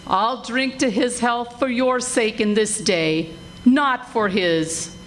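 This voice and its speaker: A woman playing a motherly, sharp-tongued working-class wife in a live radio play, with emphatic, drawn-out delivery. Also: a little high, a little hiss or hum. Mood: indignant, scornful. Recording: music behind live stage performance.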